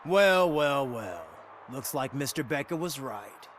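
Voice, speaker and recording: A man's voice: medium pitch, animated, slow pace, noisy background. An actor playing an assertive man in a crime video game, theatrical and emphatic. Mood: smug, accusing. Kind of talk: video game cutscene acting.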